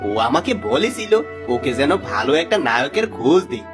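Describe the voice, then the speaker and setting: A man's voice: a little high, big pitch swings, fairly quick, vocal fry, music in the background. A man voicing a sly, smug middle-aged village swindler in a cartoon fable, with a wheedling, theatrical delivery. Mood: flattering, coaxing. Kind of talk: Bengali cartoon fable voice acting.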